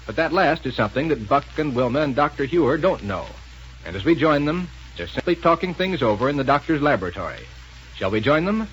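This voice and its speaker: A man's voice: on the high side, very animated, a little fast, muffled recording. A man working as announcer on a 1930s radio serial, reading scripted narration and sponsor copy. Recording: noisy 1930s radio recording.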